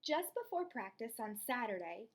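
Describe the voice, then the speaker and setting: A woman's voice: on the high side, very animated, normal speed. A woman teacher reading a children's story aloud and explaining it step by step. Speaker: elementary school teacher. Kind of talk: children's read-aloud with lesson.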